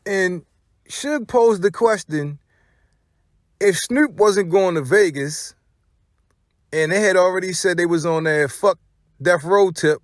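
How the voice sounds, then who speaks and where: A man's voice: a little high, dry recording, studio quality. A man hosting an online show, giving informal, opinionated hip-hop commentary in slangy, profane, emphatic phrases with repetition.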